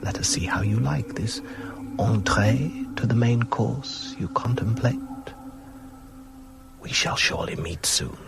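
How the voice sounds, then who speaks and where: An actor playing a suave, menacing vampire lord who speaks in florid, mocking, formal phrases in a radio drama. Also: male voice, fairly low pitch, animated, creaky, in a whisper, loud music behind the voice. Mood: mocking menace. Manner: florid, formal, drawn-out speech.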